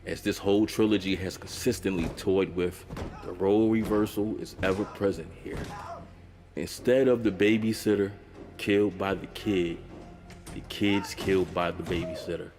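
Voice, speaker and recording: A man's voice: sits low, fairly even intonation, normal speed, a little mellow, long reverb tail. A man narrating a film breakdown video essay in a wry, conversational way with blunt, profane asides.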